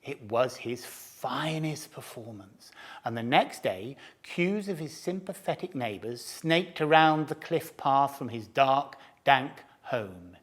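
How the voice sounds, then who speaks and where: A man narrating a storybook tale expressively, dropping at times into hushed whispers. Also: medium pitch.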